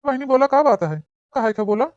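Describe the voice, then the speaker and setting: An indignant village husband as a cartoon performer voices him, high for the part and very quick, firing scolding questions in an Awadhi accent. The track is dry and a little dull. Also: lively intonation, darkish tone, no echo. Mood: impatient. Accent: Awadhi, eastern Uttar Pradesh. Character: indignant village husband and elder brother, hot-tempered. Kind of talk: cartoon comedy dialogue.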